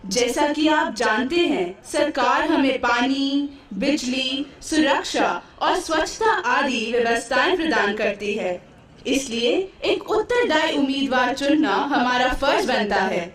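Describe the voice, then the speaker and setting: An earnest schoolteacher played by a woman explains in a didactic, deliberate way in a puppet show. Her voice is high and comes through heavy echo from off the mic. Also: animated, fairly bright tone. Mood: instructive. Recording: very echoey hall, distant mic.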